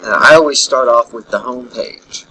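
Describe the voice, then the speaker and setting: Bright-voiced man giving a casual software tutorial. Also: mid-range voice, moderate pace, breathy edge, rough recording. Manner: instructional, thinking aloud while demonstrating. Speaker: instructor, software trainer. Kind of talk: casual step-by-step screen tutorial narration. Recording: bright recording with faint background noise.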